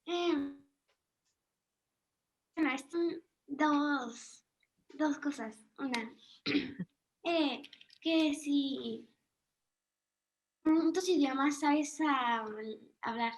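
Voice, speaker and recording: A woman's voice: sits high, drawn-out words, long pauses. A female voice, hesitantly asking the guest questions. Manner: hesitant, with restarts.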